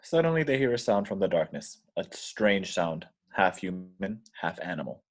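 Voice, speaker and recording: A man's voice: low-pitched, sing-song, normal speed. A man reading screenplay stage directions as scripted narration in an amateur table read.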